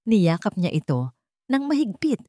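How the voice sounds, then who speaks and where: A woman narrator reading a scripted romantic drama story in measured, short phrases. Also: mid-range voice, big pitch swings, warm tone, dry recording, studio quality.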